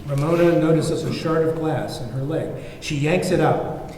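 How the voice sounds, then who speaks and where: A man's voice: a little high, normal speed, darkish tone. Very echoey, distant male script narrator. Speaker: narrator reading stage directions. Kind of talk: scripted narration at a table read. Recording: very echoey, distant, faint noise.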